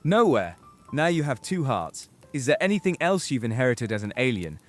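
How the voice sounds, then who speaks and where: A man acts as a hot-tempered, mistrustful wizard in a fantasy audio drama. His delivery is curt and accusing, with very animated pitch. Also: moderate pace, soft background music, natural voice. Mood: grudging, mocking. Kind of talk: fan audio drama acting.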